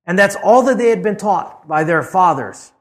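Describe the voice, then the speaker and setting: A middle-aged man from Hawaii preaches with a voice that sits a little high. He reads scripture with rising emphasis, then shifts into a relaxed, conversational way of talking with some fillers. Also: moderate pace. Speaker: pastor. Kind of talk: expository sermon.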